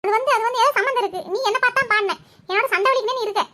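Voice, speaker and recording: A woman's voice: very high-pitched, very fast, sharp tone. A woman voicing a quarrelsome, tearful village housewife in a Tamil cartoon, complaining and accusing as she tells her story.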